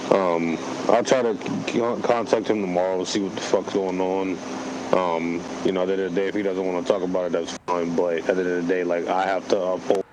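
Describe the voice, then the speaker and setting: Low male voice, monotone, frank casual debrief, clear background hum on voice chat. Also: a little fast. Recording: noisy voice chat with loud hum.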